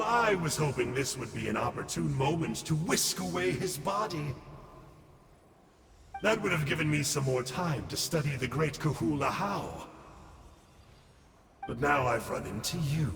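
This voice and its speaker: An actor playing a smug, theatrical villain scholar in a video game, with a teasing, mock-dramatic drawl. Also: male voice, fairly high pitch, big pitch swings, unhurried, vocal fry, a bit bright, echoey room, big-room sound, soft background music, exaggerated voice. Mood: mock lamenting.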